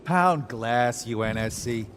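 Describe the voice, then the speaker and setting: An actor playing a gruff, unhelpful mining colony local in a video game, blunt and colloquial. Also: male voice, medium pitch, animated, takes it slowly, a bit bright, a little hiss or hum. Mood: dismissive. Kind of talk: video game cutscene acting.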